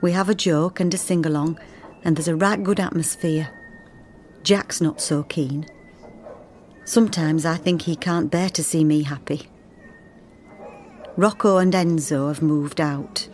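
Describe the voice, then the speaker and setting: A woman's voice: deep voice, fairly even intonation, unhurried, pauses often, a little mellow, a little hiss or hum. An actress playing a homely, wistful working mother of the 1940s reading out her letter in a radio drama. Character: plain-spoken 1940s working mother writing a letter home.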